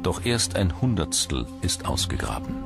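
A man reading scripted documentary narration in a measured, articulate storytelling manner. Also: low-pitched, big pitch swings, creaky. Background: music.

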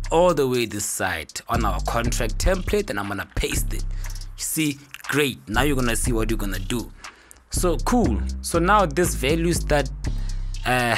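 A man hosting a software tutorial in a casual, informal way, talking through each step with upbeat interjections. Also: fairly low pitch. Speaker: tutorial host. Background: music.

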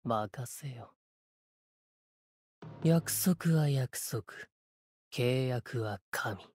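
A man voicing a solemn, cryptic sword spirit warrior in a Japanese game, with measured, portentous, archaic phrasing and a breathy voice. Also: medium pitch, a little mellow, no room sound. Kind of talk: Japanese game character voice lines.

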